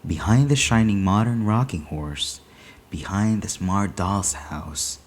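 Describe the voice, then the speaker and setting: A man reading a short story aloud in a deliberate, dramatic storytelling manner. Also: deep voice, slight background noise. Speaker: story reader.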